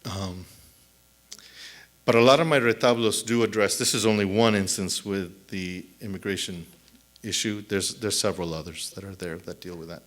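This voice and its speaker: A middle-aged man, a native Spanish speaker, answering audience questions on a theater stage at a lectern mic, thoughtful and halting with many restarts. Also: deep voice, steady tone, fairly bright tone. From El Paso, Texas. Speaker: author at a book event. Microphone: lectern mic on a stand.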